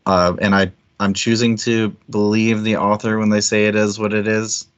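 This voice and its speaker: A man's voice: fairly low pitch, little pitch movement, moderate pace, smooth. A man co-hosting a book discussion stream, talking casually and frankly with easy swearing. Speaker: book club stream co-host. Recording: dull lo-fi streaming audio.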